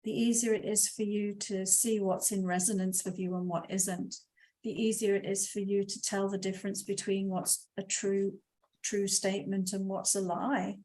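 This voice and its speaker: A woman who teaches meditation, a guest on an online talk show, explaining things calmly in steady flowing lists. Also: mid-range voice, moderate pace, rough recording. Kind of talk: casual interview talk.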